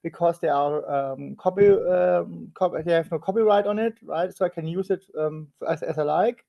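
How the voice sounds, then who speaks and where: A man's voice: fairly high pitch, gravelly, lo-fi sound. A man from Germany with a German accent, chatting casually and hesitantly in his second language. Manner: hesitant, pausing often. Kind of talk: casual chat.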